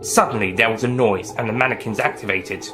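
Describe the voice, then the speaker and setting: A man's voice: fairly low pitch, a little fast, music in the background. A man reading a scripted science-fiction adventure story as its author and narrator.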